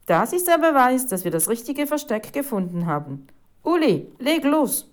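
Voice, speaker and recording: A woman voicing an excitable, dramatic female newspaper reporter in a storybook reading. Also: medium pitch, unhurried, lightly acted. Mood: thrilled. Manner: exclaiming, dramatic.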